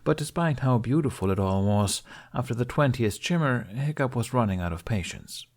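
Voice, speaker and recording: A man reading a fan fiction aloud with expressive, measured narration. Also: mid-range voice. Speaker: podfic reader. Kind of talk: storybook narration.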